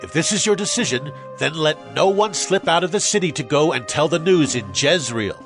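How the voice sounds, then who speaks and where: Fierce, commanding military usurper male actor, slightly high register, declamatory scripture drama, soft score underneath. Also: lively intonation, moderate pace, gravelly, over music. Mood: grim, commanding. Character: fierce male army commander seizing a kingdom.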